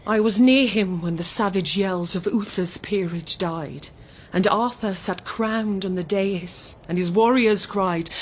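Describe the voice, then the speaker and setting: Actress as stately queen, unhurried and reverent. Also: medium pitch, slight background noise, lightly acted. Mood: awed reverence. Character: noble queen recounting wonders of a coronation. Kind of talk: classic verse radio drama monologue.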